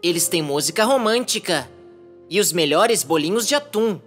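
Someone doing a mild brazilian cartoon dinosaur, easily exasperated, bright and swoopy, music behind. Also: medium pitch, animated, moderate pace, natural voice. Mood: hopeful, sweet.

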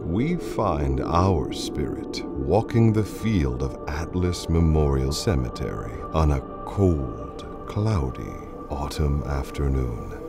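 A man's voice: a bass voice, animated, takes it slowly, creaky, hardly any pauses, loud music behind the voice. A man narrating a radio play in a dramatic, scripted style.

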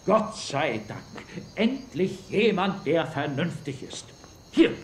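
An actor playing a proud, exasperated army general who has been wronged, in an audio drama. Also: male voice, on the high side, sing-song, slow. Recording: roomy, with faint noise.

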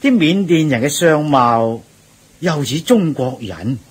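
An actor playing an eager, agreeable travelling monk in a Cantonese radio drama, chatty and exclamatory, with a husky voice. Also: male voice, a little high, lively intonation, slow, exaggerated voice. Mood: cheerful, observant. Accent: Cantonese, Hong Kong.

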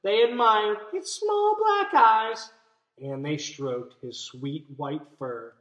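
Lively, slow-paced young man reading aloud. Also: sits high, highs cut off. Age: young adult. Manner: expressive, explanatory, asks listeners questions. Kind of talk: children's picture book read-aloud with commentary. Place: small indoor room. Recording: roomy, echoey room sound.

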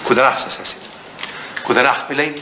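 An actor playing a devoted older English butler, formal and deferential, in a retro radio play. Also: male voice, medium pitch, normal speed, close to an everyday voice. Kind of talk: retro radio comedy-drama acting. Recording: noisy vintage radio play recording.

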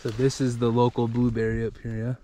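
A man's voice: medium pitch, little pitch movement, a little fast, dark tone. A man from Hawaii hosting an outdoor vlog, talking enthusiastically as he explores and often exclaims. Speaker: vlog host. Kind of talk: casual on-camera vlog narration. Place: outdoors on a mountain hike.

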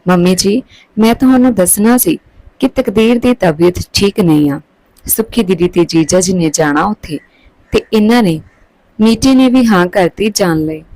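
Woman playing a polite daughter-in-law, mellow voice. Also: mid-range voice, normal speed, dry recording, lightly acted, poor audio. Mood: explaining. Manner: polite, deferential. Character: respectful, careful young married daughter-in-law.

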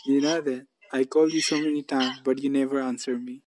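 A man's voice: mid-range voice, steady tone, fairly quick, a little mellow, no echo, low-quality recording. A man hosting a casual English practice video call, speaking simple English and repeating his phrases. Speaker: YouTube channel host.

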